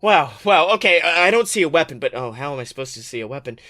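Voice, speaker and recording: An excitable man acting as an insecure tough guy in a comic story reading. He rambles and stammers with exasperation, in a raised, slightly high voice. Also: quick pace. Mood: panicked.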